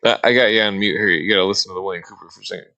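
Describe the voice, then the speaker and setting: A man hosting a casual livestream chat in a halting, unpolished way, prone to swearing. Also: low voice, pushed and bright. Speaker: livestream host.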